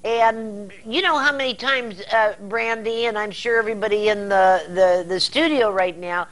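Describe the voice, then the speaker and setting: An older American woman hosting a casual TV interview in a folksy, halting way. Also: mid-range voice, low-quality recording. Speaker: TV interview host. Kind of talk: casual talk-show interview. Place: indoor TV studio set.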